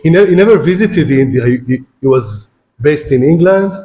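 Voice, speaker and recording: A man with an Israeli accent lectures on philosophy in a somewhat high voice. He speaks English as a second language after Hebrew, often stumbling over and repeating words. He addresses the students directly. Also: lively intonation, normal speed, mellow. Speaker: guest lecturer. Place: lecture hall.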